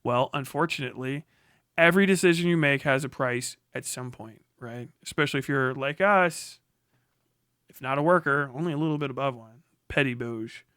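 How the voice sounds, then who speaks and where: A man's voice: medium pitch, fairly bright tone, clear, resonant tone, no room sound. An American man in a blunt, combative, profane livestream rant, speaking unscripted into a close mic. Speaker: livestream commentator.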